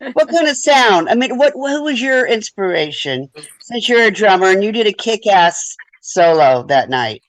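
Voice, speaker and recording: A woman's voice: medium pitch, sing-song, measured pace, no echo, rough recording. Chatty Southern American woman interviewer. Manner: chatty, says y'all, laughs easily. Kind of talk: casual interview.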